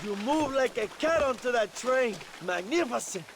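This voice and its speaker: An actor playing a charming, vain Mexican revolutionary leader in a video game, with a Mexican accent and a pompous, theatrical way of speechifying. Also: male voice, extremely high pitch, animated, normal speed, slight background noise. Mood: conspiratorial. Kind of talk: video game cutscene acting.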